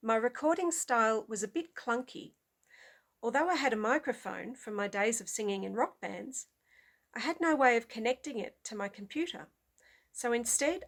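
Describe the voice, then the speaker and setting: A woman's voice: a little high, vocal fry. A woman musician giving a prepared conference talk in a conversational way, explaining her work. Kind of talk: prepared presentation.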